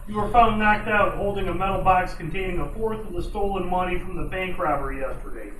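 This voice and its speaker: A man's voice: sits high, brisk, lightly acted. A man playing a firm, exasperated police lieutenant in an old-time radio detective drama. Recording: roomy, distant mic, noisy amateur recording.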